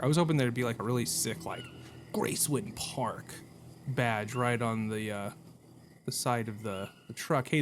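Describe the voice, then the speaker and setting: A man hosts a video game playthrough in a medium-pitched voice. He talks casually and reacts as he goes, rambling and joking with goofy, mild exclamations. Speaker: gamer hosting a playthrough video. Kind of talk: casual, reactive gameplay commentary.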